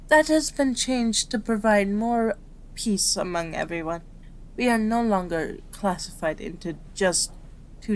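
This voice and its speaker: A woman voicing a gentle, patient female kitsune doctor in an ASMR roleplay, with a soothing, polite, measured manner. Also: mid-range voice, close to an everyday voice, low-quality recording. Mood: patient, explanatory.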